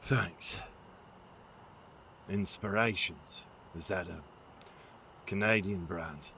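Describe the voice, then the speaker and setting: Deep, gruff dad. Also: male voice, pushed and bright, a little hiss or hum, heavily acted. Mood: gruff, casual. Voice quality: gravelly. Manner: terse, halting. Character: gruff, awkward middle-aged father. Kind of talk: audio drama dialogue.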